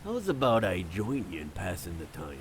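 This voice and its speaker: A man playing a gruff, genial old-time ship captain in a nautical fantasy audio drama. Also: sits low, huge pitch swings, normal speed, a little hiss or hum, heavily acted.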